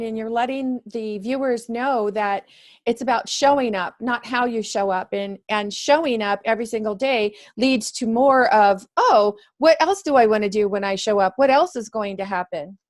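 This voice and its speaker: Encouraging woman host on a video call, slightly high voice, affirming. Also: normal speed. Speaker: interviewer, host. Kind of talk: casual conversational interview.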